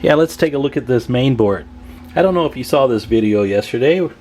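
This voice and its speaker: A man's voice: mid-range voice, animated, fairly quick, a little mellow, a little hiss or hum. A man talking casually and colloquially to viewers as he narrates a hands-on technical demonstration, with chatty asides. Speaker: radio repair technician, video host.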